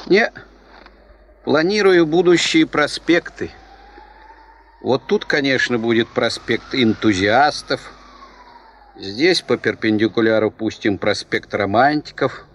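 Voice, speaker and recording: An actor playing a whimsical, teasing man with a playful, unhurried way of talking, in a 1970s Soviet radio play. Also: medium pitch, animated, a little hiss or hum. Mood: playfully teasing. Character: whimsical, teasing male dreamer planning a town.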